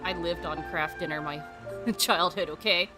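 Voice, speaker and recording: A woman's voice: fairly low pitch, fairly even intonation, unhurried. A woman game streamer giving casual let's play commentary, chatting back at the characters as she goes. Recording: loud game music behind voice. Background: game music.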